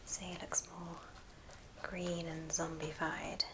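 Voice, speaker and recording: A woman whispering softly and unhurriedly through an ASMR craft tutorial, leaving pauses between short phrases. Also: deep voice, little pitch movement, noisy background. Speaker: ASMR craft video host.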